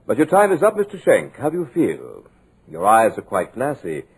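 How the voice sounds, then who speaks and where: A man's voice: on the low side, huge pitch swings, normal speed, slightly rough, exaggerated voice. A man playing a sinister male hypnotist villain in an old radio drama, silky and taunting. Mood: gloating. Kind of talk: 1940s radio drama acting.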